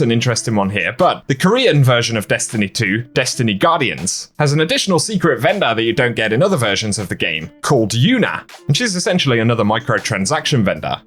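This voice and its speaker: A man's voice: lowish voice, fairly bright tone. A man hosting a video game commentary voice-over in a chatty, informal YouTuber style. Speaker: video host.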